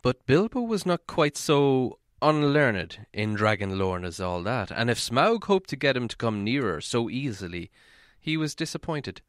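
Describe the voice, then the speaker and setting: A man's voice: medium pitch, big pitch swings, unhurried, dry recording, studio quality. A man reading a storybook aloud as a dramatic, scripted narration. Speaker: audiobook narrator.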